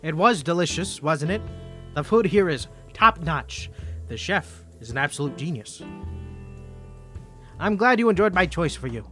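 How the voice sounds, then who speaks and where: A man's voice: on the high side, lively intonation, normal speed, long pauses, a bit bright, faint music behind. A man playing a suave, flirty mafia boss in a cartoony 1950s voice, speaking politely and self-assuredly in a scripted roleplay. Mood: composed, courteous.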